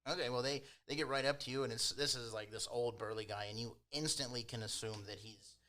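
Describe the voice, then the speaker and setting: A man's voice: mid-range voice, fairly even intonation, fairly quick, pushed and bright. A man working as game master, casually improvising the narration of a tabletop roleplaying game.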